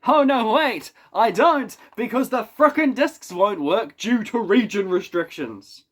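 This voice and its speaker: A man's voice: sits high, expressive, a little slow. A man with a New Zealand accent and a head cold, giving a chatty, wry spoken review in a casual, self-deprecating way from his small apartment. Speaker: YouTube reviewer.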